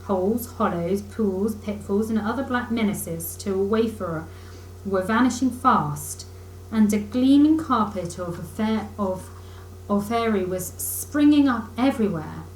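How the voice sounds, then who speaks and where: A woman reading a children's book aloud in expressive storybook narration, like a teacher reading to pupils. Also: mid-range voice, a little slow. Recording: echoey room with faint noise.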